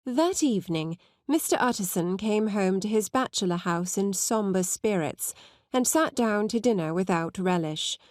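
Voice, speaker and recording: A woman's voice: mid-range voice, lively intonation, measured pace, darkish tone, no room sound, clean studio sound. A woman reading scripted literary narration as an audiobook narrator.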